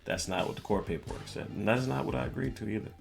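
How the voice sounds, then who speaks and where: A man hosting a podcast, telling a personal story in a casual, measured way with music playing behind the voice. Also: fairly low pitch, vocal fry. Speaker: podcast host. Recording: music bed under the voice. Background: background music.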